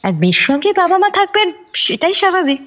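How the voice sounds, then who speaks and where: An actress playing a brash young go-getter stylist in a radio drama, sassy and impatient, mixing in English words. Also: female voice, very high voice, very animated, a little fast, fairly bright tone, heavily acted.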